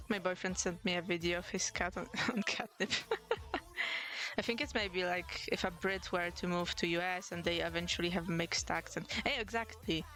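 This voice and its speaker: A woman's voice: lowish voice, steady tone, normal speed, pushed and bright, faint music behind, low-quality recording. A young woman from Poland, Polish her first language, chatting casually on a livestream in English with a mixed Polish and British accent, laughing often and restarting words. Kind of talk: casual chat while drawing on stream.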